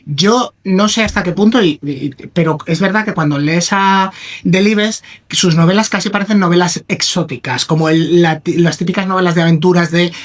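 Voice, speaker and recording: A man's voice: high voice, normal speed. A man from Madrid, a writer chatting casually and rambling through his thoughts with many restarts. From Madrid, Spain. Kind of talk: casual conversation.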